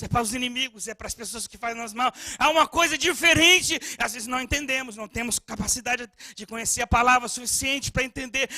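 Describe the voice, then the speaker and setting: High, bright-voiced male preacher. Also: no room sound, clean studio sound. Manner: impassioned, swinging from soft storytelling to shouted preaching; frequent stammered restarts. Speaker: pastor. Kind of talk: sermon.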